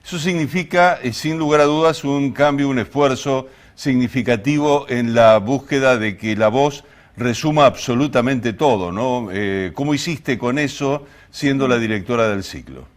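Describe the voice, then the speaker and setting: A man's voice: medium pitch, normal speed. A man with an Argentine accent hosting an interview in wordy, somewhat formal phrases. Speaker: interview host. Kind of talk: conversational interviewing.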